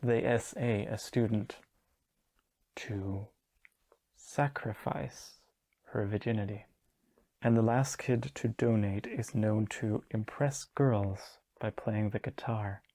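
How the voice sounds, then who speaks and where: A young man in his twenties whose first language is Danish, talking through a video essay with a low, mellow voice. His delivery is wry and sardonic, full of offhand asides. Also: steady tone, takes it slowly, low-quality recording. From Denmark. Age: 20s. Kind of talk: video essay book recap.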